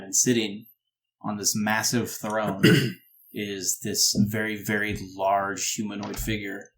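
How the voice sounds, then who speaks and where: A man narrating a tabletop game as game master, improvising descriptions in a halting, descriptive way. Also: lowish voice, vocal fry, low-quality recording.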